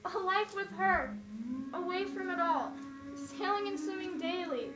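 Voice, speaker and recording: Young woman playing a plaintive, very high-voiced siren. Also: a little slow. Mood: yearning. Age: young adult. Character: wistful, wounded young female siren, yearning for love. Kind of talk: theatrical stage acting in mock-archaic speech. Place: school theater stage. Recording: echoey, very noisy school stage.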